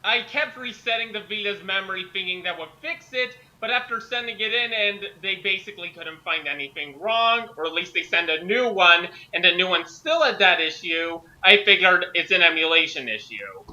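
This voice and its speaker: A man's voice: high voice, animated, moderate pace, hardly any pauses, sharp tone, muffled recording. A young man on an online group call, chatting casually and reading his own writing aloud. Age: young adult. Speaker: fanfic author joining the reading.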